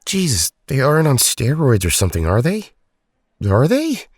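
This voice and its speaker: A man's voice: medium pitch, huge pitch swings, moderate pace, a little mellow, slightly rough, no room sound, clean studio sound. A man playing a loyal, reluctant teenage hero in an audio drama, hesitant and defensive in a conversational way. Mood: puzzled, wary.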